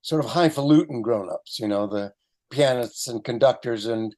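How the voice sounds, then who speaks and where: A woman's voice: extremely low pitch, normal speed, dark tone. An older woman reminiscing casually in an interview.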